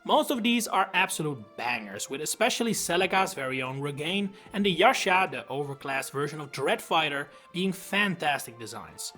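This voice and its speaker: A young man with a Dutch accent, slightly high-voiced and highly animated, narrates his scripted video essay close to the mic. His delivery is enthusiastic, with wry little asides, and faint music plays softly underneath. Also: moderate pace, few pauses. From Netherlands. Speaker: YouTube host. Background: faint background music.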